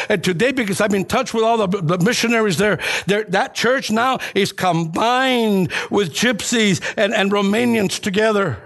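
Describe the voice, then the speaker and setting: Man, high voice, church preacher, personal testimony, conversational storyteller, emphatic repeated phrases. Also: a bit bright.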